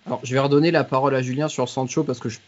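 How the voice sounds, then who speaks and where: A man's voice: medium pitch, steady tone, racing, darkish tone, muffled recording. A man hosting a football podcast, giving views and passing questions to guests. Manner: hands over questions to guests. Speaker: podcast host. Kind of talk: moderating a podcast.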